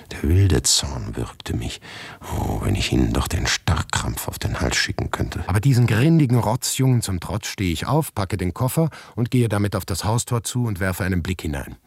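An anguished, paranoid man of letters in a radio play. His voice is low and husky, recorded very dry. He tells his story in a deliberate, inward way, sometimes dropping into a whisper. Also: dry recording, heavily acted. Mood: whispered, tense dread. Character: anguished, paranoid male writer telling his grotesque misadventure. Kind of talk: literary radio monologue, first-person narration.

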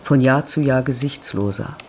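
A performer in a literary radio drama, playing a solemn narrator who recites idioms and reflections sentence by sentence, deliberately. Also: mid-range voice, slight background noise, natural voice. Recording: faint music behind the voice.

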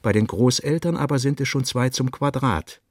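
Give me a man with a mid-range voice doing a measured literary radio reading, close and dry. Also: medium pitch, dry recording. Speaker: narrator.

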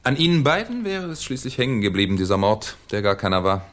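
An actor playing a shrewd, ironic French police commissioner in a 1970s German radio crime drama, with a theatrical, needling manner. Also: male voice, fairly low pitch, big pitch swings, quick pace, fairly bright tone. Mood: smug, reproachful.

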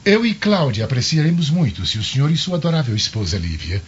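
An actor with a Brazilian accent playing a suave, insistent Roman governor in a radio drama, florid, ceremonious and theatrical. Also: male voice, mid-range voice, sing-song, fast talker, natural voice. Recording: dull, noisy lo-fi radio drama.

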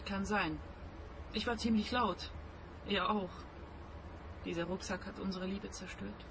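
A woman's voice: medium pitch, fairly even intonation, a little slow, lightly acted. Woman playing an earnest, wounded young sweetheart. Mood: sad, earnest. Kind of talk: radio crime comedy acting. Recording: clear background noise and ambience.

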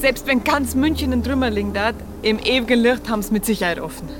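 A woman's voice: a little high, fast, noisy background, lightly acted. A woman playing a helpful, plain-spoken Munich local with a Bavarian accent in a radio drama. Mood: eager, informative. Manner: colloquial, matter-of-fact.

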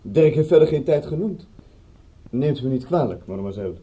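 A man's voice: medium pitch, animated, fairly quick, mellow, lo-fi sound, lightly acted. A man acting in a radio play as a polite, obliging Frenchman, courteous and embarrassed as he apologises. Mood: apologetic, courteous.

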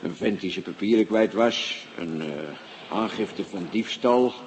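A man's voice: lowish voice, measured pace, slight background noise, close to an everyday voice, rough recording. An actor playing a deferential, slightly dim young desk policeman in a radio play, hesitating and repeating himself. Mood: deferential, weary.

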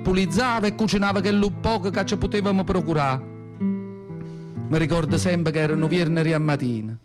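A man's voice: fairly high pitch, normal speed, lightly acted. A male actor playing an elderly, devout southern Italian mother with a Neapolitan accent, telling her memories in a plaintive, emotional radio monologue. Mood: sombre reminiscing. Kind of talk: theatrical radio monologue in dialect. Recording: guitar music behind the voice. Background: acoustic guitar music.

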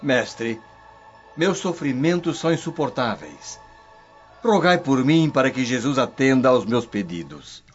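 A man playing a tormented believer who pleads with a swinging, lively intonation at a middle pitch. The audio is dull, with music faint underneath. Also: unhurried, natural voice. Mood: pleading. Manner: anguished pleading.

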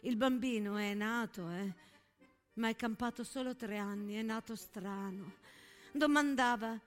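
A woman performs a grieving village mother in a wartime stage monologue, soft and lowered. She is resigned and halting, with faint music behind her. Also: lightly acted. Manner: hushed, halting. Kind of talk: theatrical war monologue.

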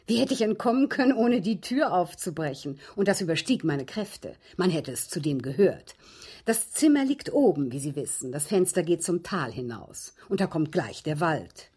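An actress playing a genteel, aggrieved widow in a German radio drama, speaking in precise, formal, dramatic phrases with a husky voice. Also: female voice, lowish voice, very animated, normal speed, a little mellow. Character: genteel, aggrieved widow recounting her captivity.

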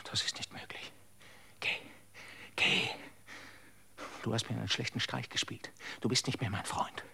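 An actor playing a grieving, frightened young man who whispers and then breaks into anguished shouts in a radio drama. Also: mid-range voice, slightly rough.

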